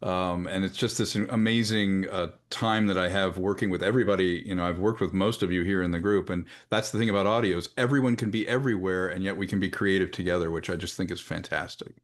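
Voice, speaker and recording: Low-voiced male voice actor. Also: fairly even intonation, fast, few pauses. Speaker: voice actor, podcaster, panelist. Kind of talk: casual self-introduction.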